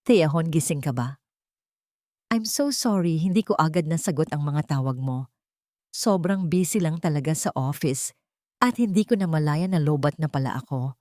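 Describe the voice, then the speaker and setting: A woman's voice: deep voice, expressive, normal speed, a little mellow, no room sound, studio quality. A woman voicing a deceitful husband in an audio story, soothing and apologetic with feigned worry. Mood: feigned concern.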